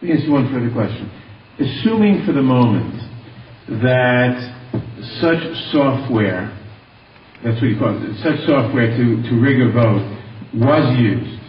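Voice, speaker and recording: A congressman's voice, distant and echoing across a room. The man has a medium pitch and puts formal questions in halting, deliberate phrases. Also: lively intonation. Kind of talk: formal questioning at a public hearing. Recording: roomy, distant, dull lo-fi hearing audio, faint noise.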